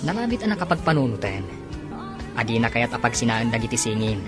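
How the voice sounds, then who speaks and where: An actor playing a blunt friend who gives advice. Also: male voice, mid-range voice, very animated, fast talker, music in the background, lightly acted. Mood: advising.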